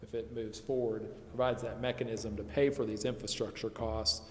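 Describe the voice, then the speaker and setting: A man's voice: lowish voice, level tone, normal speed, darkish tone. A man explaining things at a public meeting as an adviser, hedging and repeating himself as he goes. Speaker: presenter advising a city committee. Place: council chamber. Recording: echoey meeting chamber, faint noise.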